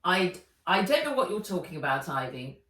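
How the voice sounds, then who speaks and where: A woman's voice: lowish voice, sing-song, normal speed, fairly bright tone. A woman voicing an older male school official in a storybook, stiff, formal and evasive. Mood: flustered. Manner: formal, indignant, flustered under pressure. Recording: roomy echo, distant mic.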